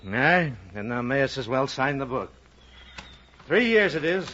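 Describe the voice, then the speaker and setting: A man's voice: medium pitch, sing-song, measured pace, pushed and bright, full character voice. A blustering old seafarer in a vintage radio play. His delivery leaps up and down with archaic, emphatic thee-and-thou phrasing, on a muffled recording. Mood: blustering, challenging. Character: gruff, blustering old Quaker whaling ship owner. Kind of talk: old-time radio drama acting.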